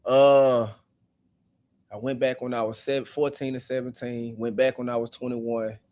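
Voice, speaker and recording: A young man talks in an animated, outspoken way as a guest on a live-stream panel. His voice sits at an ordinary pitch and sounds dry and close, with no room echo. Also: measured pace. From South Memphis, USA. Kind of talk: casual live-stream debate.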